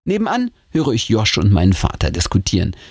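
A man narrates a horror audiobook with theatrical suspense. His voice is slightly low and husky, swinging widely in pitch, and the sound is close and dry. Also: wildly swooping pitch, fairly quick, darkish tone, no echo. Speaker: host and narrator reading a horror story. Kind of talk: dramatic audiobook storytelling.

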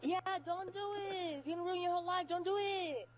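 A high-pitched female prank caller speaks in a deadpan, repetitive manner. She sounds improvised and casual, coming through an online party chat on a headset. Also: fairly quick. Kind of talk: prank phone call, improvised.

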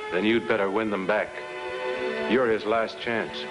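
A man's voice: fairly low pitch, fairly even intonation, measured pace, lightly acted. An actor playing a stern man giving urgent counsel in a 1950s film drama. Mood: firm, urging. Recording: old film soundtrack with loud music.

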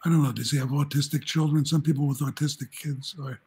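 A man's voice: fairly high pitch, fairly even intonation, quick pace, warm tone, husky. A man in his late seventies from New Jersey, telling stories as a guest over a video call, rambling and chuckling with frequent restarts. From New Jersey, United States. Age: 70s. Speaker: guest, journalism professor. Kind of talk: casual interview storytelling. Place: home room, indoors.

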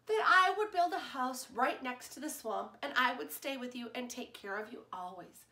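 A woman voicing a loving, patient mother who answers softly and reassuringly, in a roomy-sounding recording. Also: sits high, animated, moderate pace, exaggerated voice. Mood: tender. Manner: tender, reassuring storybook delivery. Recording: roomy echo.

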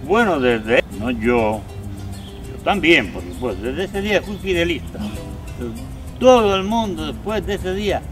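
A man's voice: on the high side, lively intonation, a little slow, a bit bright, soft background music. An older man born in Galicia, a former rebel soldier, recalling the war in an interview, often ending with a questioning "no?". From Galicia, Spain. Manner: reflective, with many "¿no?" tags. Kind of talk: recollecting in an interview. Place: living room, indoors. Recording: very echoey room, mic far off.

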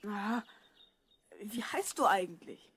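A woman's voice: medium pitch, animated, a little slow, fairly bright tone, slightly rough, full character voice. A woman playing a curious, slightly cautious twelve-year-old boy in a children's audio drama.

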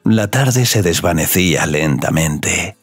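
A man's voice: deep voice, lively intonation, takes it slowly, husky, no echo. A man narrating a scripted romantic audio story as a storyteller. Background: music.

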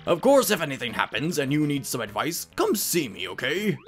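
A man voicing a shriveled old Goomba professor, an excitable, fussy scholar who lectures and exclaims, in a game fan dub. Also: fairly high pitch, brisk, slightly rough, faint music behind, full character voice. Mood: earnest.

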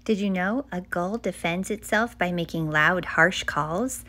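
A woman's voice: lowish voice, unhurried, sharp tone. Woman reading a children's book aloud. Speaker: children's author and librarian hosting a storytime.

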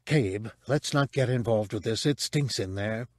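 A man's voice: medium pitch, a little slow, dry recording, clean studio sound, close to an everyday voice. Man playing a wary big furry alien. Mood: uneasy. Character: big furry male alien, cautious reluctant companion.

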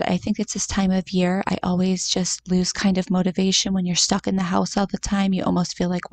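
A woman's voice: mid-range voice, creaky, darkish tone. A woman in her thirties, a mom vlogger chatting casually to her viewers about groceries and home life, confiding and full of fillers. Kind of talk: casual chatty vlog voice-over. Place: home kitchen, indoors. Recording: faint background hum, dishwasher running.

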